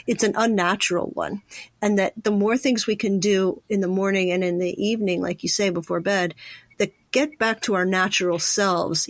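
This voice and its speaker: Woman, podcast host, medium pitch, informal and reflective, conversational, dry close recording. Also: no room sound.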